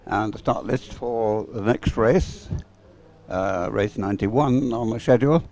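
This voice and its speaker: A man's voice: fairly low pitch, a little slow, a little mellow. A man giving unscripted live sports commentary in a hesitant, rambling way, as if thinking aloud.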